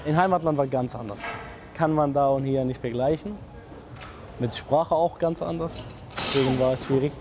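A man's voice: mid-range voice, normal speed, a little mellow. Young man, noisy workshop. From northern Syria. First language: Kurdish. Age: 20s. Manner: simple short sentences in learner German. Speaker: metalworker. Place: machine workshop. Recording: noisy workshop, mic at a distance.

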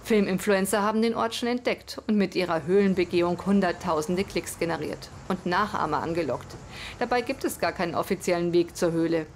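A woman's voice: fairly low pitch, a little fast, a little hiss or hum. A woman reading scripted narration for a television news report. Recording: voice over music bed. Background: music.

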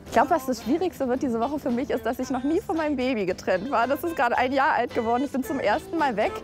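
A woman's voice: high-pitched, quick pace, music in the background. A woman speaking frankly and expressively in a casual interview. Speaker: guest.

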